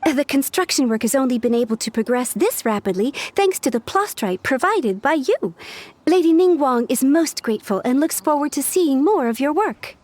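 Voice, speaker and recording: A woman's voice: high voice, animated, normal speed, a little mellow. An actress playing a businesslike woman who oversees a building project and explains things plainly. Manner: matter-of-fact, explanatory. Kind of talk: game voice acting.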